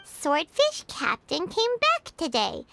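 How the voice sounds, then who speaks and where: A woman voicing a tiny, excitable childlike fairy companion in a video game, eager and caring, with a squeaky, exclamatory delivery. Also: slow pace, creaky, noisy background, heavily acted. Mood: curious, cheerful. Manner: exclamatory, refers to self in third person. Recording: music playing behind.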